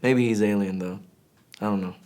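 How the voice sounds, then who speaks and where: A man from Greece, an indie singer-songwriter, talking casually and candidly in an interview in an informal, halting, slangy way. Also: lowish voice, steady tone, darkish tone.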